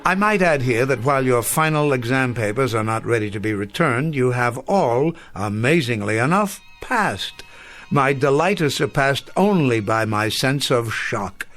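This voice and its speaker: An actor playing a gentle, bookish old literature professor in a radio drama, courteous and wordy in an old-fashioned formal way. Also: male voice, mid-range voice, animated, normal speed, lightly acted. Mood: wry, fond.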